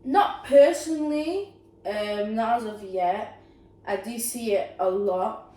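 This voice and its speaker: A young woman chatting thoughtfully and softly as a podcast co-host. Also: fairly high pitch, takes it slowly, soft background music. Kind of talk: casual chat. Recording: echoey room, mic far off, faint noise.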